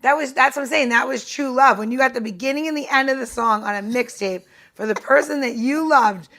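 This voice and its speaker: A woman with a fairly high voice, co-hosting in loose, casual banter. She is playful and emphatic, stressing her points and drifting happily into side topics. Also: fairly quick, fairly bright tone. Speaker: podcast co-host.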